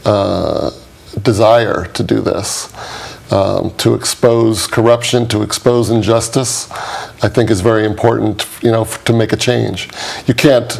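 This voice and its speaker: Gravelly American man, easygoing guest. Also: on the low side, steady tone, unhurried, a little mellow. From United States. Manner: easygoing, conversational, explains plainly. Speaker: guest, documentary producer. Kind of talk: studio interview.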